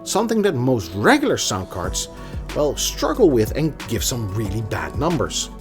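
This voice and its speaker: A man's voice: mid-range voice, huge pitch swings, moderate pace, over music. A man presenting a product review in a conversational, emphatic way, occasionally stumbling over a word. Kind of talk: scripted product review with casual asides.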